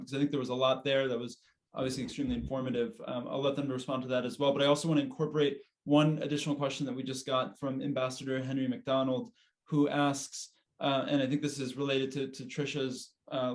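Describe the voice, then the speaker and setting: A man moderating a panel on a video call, polite and reading out audience questions. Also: mid-range voice.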